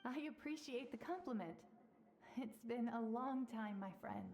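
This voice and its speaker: A woman voicing a calm, wise memory spirit in a video game, measured and composed. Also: on the high side, faint music behind, lightly acted.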